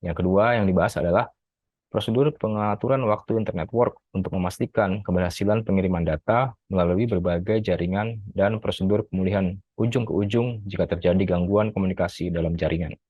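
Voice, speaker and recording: A very low-voiced male student reads his prepared review in an even, methodical way, sometimes stopping to correct a word. The sound is close and dry, with no room echo. The recording is slightly muffled, with its top end dulled. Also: brisk. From Indonesia. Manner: steady reading with occasional self-corrections. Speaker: graduate student presenting an article review. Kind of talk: reading a prepared academic summary.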